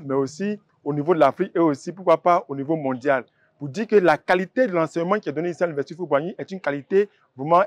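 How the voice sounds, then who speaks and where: A man with an Ivorian accent giving an earnest, semi-formal spoken tribute in a measured way. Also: on the high side, dry recording.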